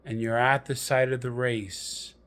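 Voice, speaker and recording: Slow-paced man guiding a meditation. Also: mid-range voice, flat delivery, a bit bright. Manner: deliberate, measured storytelling with pauses. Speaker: meditation guide. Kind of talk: guided meditation narration.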